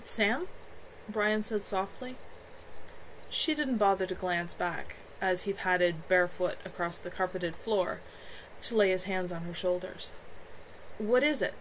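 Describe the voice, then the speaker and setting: A woman reading her own novel aloud as an author on a home livestream, in an expressive amateur narration style. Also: lowish voice, a little slow, slight background noise, poor audio. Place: at home, in a room with a bed.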